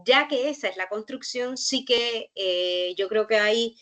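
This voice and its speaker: A researcher from Cuba speaks with a Cuban accent at a workshop panel. She reflects carefully and pauses often to find the right word. She sounds measured and thoughtful as she thinks aloud. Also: fairly high pitch, fairly bright tone. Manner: thoughtful, searching for words with many pauses and fillers.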